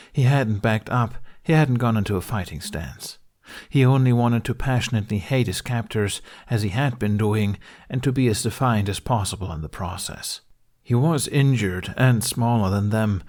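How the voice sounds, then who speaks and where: A man narrates an audiobook story in a fairly low voice. His delivery is dramatic, scripted fiction storytelling. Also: darkish tone.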